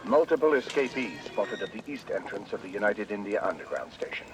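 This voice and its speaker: An actor playing a brisk knight commander over radio in a video game, formal, clipped and authoritative. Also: male voice, lowish voice, big pitch swings, over music. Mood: commanding. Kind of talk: video game cinematic acting. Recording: muffled noisy distant radio link.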